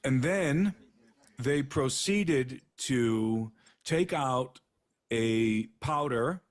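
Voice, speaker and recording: A man's voice: mid-range voice, expressive, drawn-out words. A man telling a story in a plain, step-by-step retelling.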